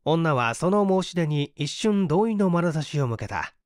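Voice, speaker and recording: A man narrating a mystery story as a scripted dramatic reading, measured and with deliberate pauses. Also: on the high side, animated, darkish tone, no room sound, studio quality. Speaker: audiobook narrator, stage performer.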